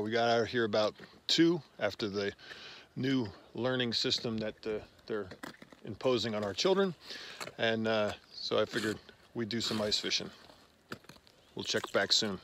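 A man in his fifties with a fairly low voice speaks casually to a phone held at arm's length outdoors. He goes in halting short phrases, pausing often, with faint noise behind him. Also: a bit bright. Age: 50s. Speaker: host, father fishing with his sons. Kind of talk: casual outdoor vlog narration. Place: outdoors on a frozen lake. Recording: noisy outdoor phone recording.

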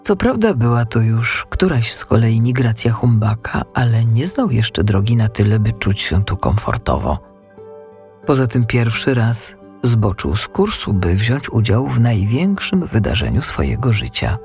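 Male children's audiobook narrator: medium pitch, animated delivery, creaky edge, music underneath. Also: a little slow. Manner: expressive storytelling.

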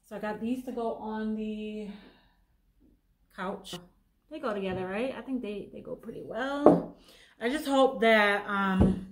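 A young woman chatting casually to her viewers while decorating her home, laughing at herself and calling them y'all. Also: medium pitch. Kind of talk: casual home vlog chat. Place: bright home, living room and stairway.

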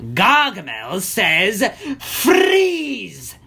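A woman's voice: medium pitch, very animated, takes it slowly, pushed and bright, husky, exaggerated voice. A woman voicing a gleeful evil male wizard in a children's story, with a theatrical, gloating, cackling delivery. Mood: triumphant.

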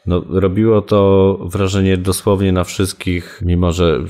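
Very low-voiced man recounting, hesitantly. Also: little pitch movement, measured pace, mellow, faint music behind. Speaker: journalist giving an interview. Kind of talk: casual spoken recollection.

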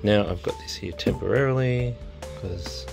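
A very low-voiced man with a creaky, gravelly edge talks casually into a phone around his car. He rambles in an understated way, like a hobbyist thinking aloud as he works. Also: normal speed, over music. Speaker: car audio hobbyist vlogger. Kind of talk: casual unscripted vlog commentary. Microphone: handheld phone.

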